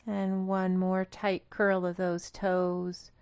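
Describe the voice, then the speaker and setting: A woman leading a guided meditation in a calm, gentle, instructional manner. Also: lowish voice, takes it slowly, clear, resonant tone, no room sound. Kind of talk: guided body scan meditation.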